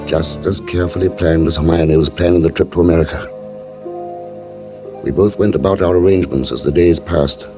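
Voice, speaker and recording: An actor playing a meek, henpecked middle-aged botanist with quiet murderous schemes, mild and measured in 1940s radio suspense style. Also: male voice, low voice, fairly even intonation. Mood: quietly sinister. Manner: mild, deferential, dryly measured. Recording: muffled old radio recording with music.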